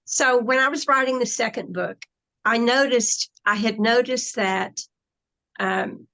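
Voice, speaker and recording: A woman guest in a spiritual interview, explaining slowly and deliberately over a remote call. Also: on the high side, fairly bright tone, low-quality recording.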